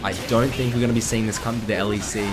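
A young man with a slightly croaky voice, casually commenting on a game replay from his desk, chatty with excitable bursts. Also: on the low side, quick pace. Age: young adult. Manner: chatty, excitable bursts over calm analysis. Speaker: gaming commentator. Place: indoor room at a desk. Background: background music.

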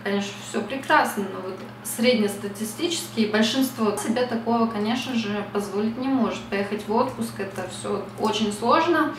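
A woman's voice: fairly high pitch, normal speed, hardly any pauses, reverberant, distant mic, background noise. A young woman from Russia talking casually to camera at home, reflective and chatty, with many fillers. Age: young adult. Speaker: beauty vlogger. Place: small bright room at home.

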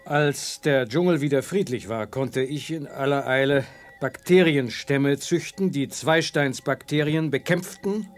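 An actor playing a calm, learned professor who explains in a measured way in a children's sci-fi radio play. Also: male voice, mid-range voice, close to an everyday voice. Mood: explaining.

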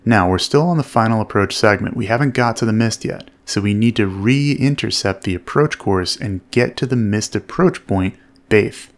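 Male instructional narrator, measured and explanatory, noticeable vocal fry. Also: lowish voice, slight background noise. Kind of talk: explanatory instructional commentary over flight footage.

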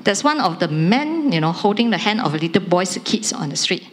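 A Malaysian woman in her forties speaks up from the audience of a large conference hall, holding a handheld mic. She talks in halting, repeated phrases, and her voice keeps climbing higher as she gets more excited. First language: Chinese. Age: 40s. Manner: animated, halting and repetitive, rising excitement. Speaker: audience member. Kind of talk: audience comment at a panel.

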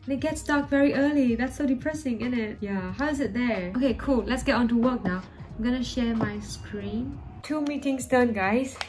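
High-voiced young woman vlogging. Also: normal speed, darkish tone. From Burma. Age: 20s. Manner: chatty, informal, addresses viewers as guys. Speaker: lifestyle vlogger. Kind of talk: casual chat to camera. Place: small flat room, indoors. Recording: music under the voice. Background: background music.

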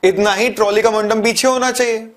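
A man's voice: high-pitched, fairly even intonation, fast talker. A man in his thirties teaching a physics lesson at a board, explaining step by step and asking and answering his own questions. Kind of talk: classroom lecture. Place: studio in front of a large touchscreen board.

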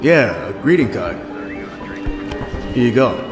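A deferential police detective acted by a man in an audio drama, informal and clipped. His medium-pitched voice moves around a lot, set in a reverberant hall. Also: sing-song, measured pace. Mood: uneasy, matter-of-fact. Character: deferential, matter-of-fact male police detective at crime scene. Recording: echoey hall reverb, music behind.

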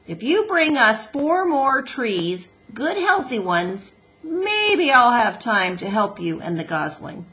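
A woman voicing a gruff, stubborn father beaver in a children's storybook, blunt and folksy in manner. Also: on the high side, big pitch swings, slow pace, fairly bright tone, pitched above the performer's own voice, exaggerated voice, rough recording. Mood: folksy, grudgingly friendly. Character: gruff, stubborn, grudgingly helpful old-fashioned father beaver.

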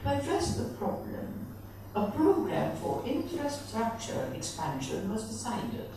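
A woman's voice: a little high, big pitch swings, creaky, mellow, far from the mic, slight background noise. Woman reading a paper in an echoey hall. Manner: measured reading with small slips and self-corrections. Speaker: conference speaker reading a presentation. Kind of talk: reading a prepared conference paper. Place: large conference hall, at a lectern. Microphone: lectern microphone.

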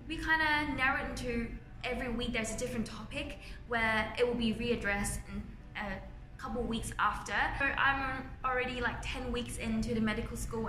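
Thai-accented young woman, echoey room. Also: on the high side. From Thailand. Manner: earnest, plain-spoken, slightly non-native grammar. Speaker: medical student. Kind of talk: answering interview questions to camera. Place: indoors by large windows, university building. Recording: roomy echo with clear ambient noise.

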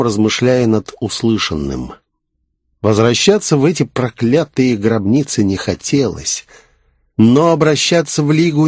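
A man's voice: mid-range voice, darkish tone, dry recording, studio quality. A man reading a scripted first-person fantasy story as an audiobook narrator.

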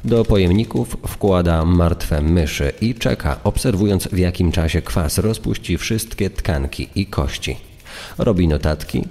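A man's voice: sits low, warm tone, full character voice. A man delivering scripted true-crime narration as a secondary narrator. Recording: long reverb.

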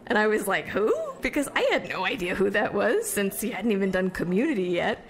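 A woman's voice: medium pitch, expressive, brisk, close to an everyday voice. An actress playing a chatty, self-deprecating young American woman who wants fame, telling stories on stage in an audio drama. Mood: chatty, confiding. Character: chatty young Somerville woman set on becoming famous. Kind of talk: conversational stage storytelling monologue.

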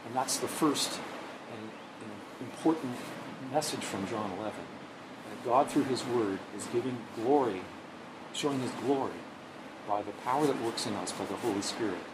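Earnest pastor preaching outdoors. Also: male voice, medium pitch, moderate pace. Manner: earnest, gesturing with his hands, repeats words as he searches. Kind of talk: sermon. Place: outdoors, under a bridge then at an open lookout. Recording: noisy outdoor recording.

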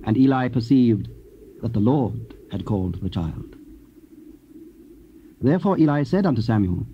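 A man's voice: medium pitch, lively intonation, unhurried. A man narrating scripture in a solemn, scripted style. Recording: dull, faintly noisy old cassette.

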